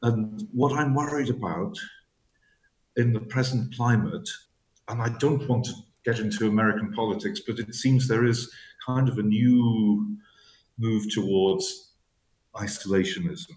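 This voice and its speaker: Halting, soft-spoken man in an echoey room. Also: fairly low pitch. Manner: halting, repeats words, searching for phrasing. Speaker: author guest. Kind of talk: reflective interview talk.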